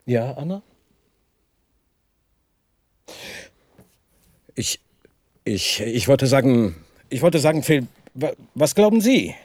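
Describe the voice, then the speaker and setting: A man acting an unsettled, condescending professor in a German radio drama, speaking haltingly and deliberately with a husky voice. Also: medium pitch, lively intonation, a little mellow, no echo. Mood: agitated, stammering. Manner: halting, deliberate, stammers when agitated. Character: unsettled, condescending professor of physics, slipping into confusion. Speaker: radio play actor.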